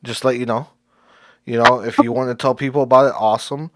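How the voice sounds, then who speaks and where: A man's voice: medium pitch, fairly even intonation, fast talker. A man hosting a podcast, talking casually and thanking his guest effusively, laughing often. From Cuban heritage. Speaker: podcast host.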